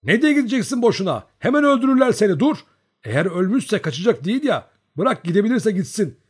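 A man voicing a gruff, bossy, excitable Cossack comrade in an audiobook story, scolding and insisting. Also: a little high, normal speed, voice pushed up.

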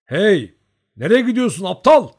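Man doing gruff Cossack man, bossy, excitable scolding, high raised voice, husky edge, swinging pitch, audiobook character. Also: normal speed. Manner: shouting and scolding, insistent.

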